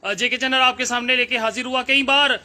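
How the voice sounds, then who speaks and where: A man's voice: extremely high pitch, fairly even intonation, brisk, bright, forceful tone. A man presenting a news report to viewers, with repetitive, emphatic phrasing.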